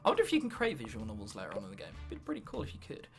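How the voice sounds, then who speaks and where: A man's voice: fairly low pitch, huge pitch swings, racing, faint music behind. A young man of about nineteen with an English accent, giving casual, jokey gaming commentary and reading game text aloud. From England. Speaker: gaming video host.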